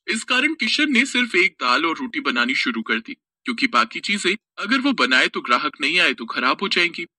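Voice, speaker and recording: Male storyteller, high pitch, lively delivery, bright dry voiceover. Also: no room sound. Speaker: narrator. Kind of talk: scripted story narration.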